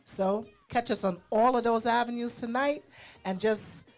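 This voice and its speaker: A woman's voice: fairly high pitch, steady tone, a little slow. A woman co-hosting a radio show in casual, chatty style, repeating words and adding informal asides. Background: music.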